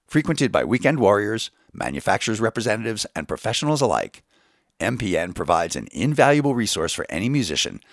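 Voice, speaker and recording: Deep announcer guy reading a promo, lively up and down pitch, totally dry. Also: creaky, no room sound. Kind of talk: scripted promotional voice-over.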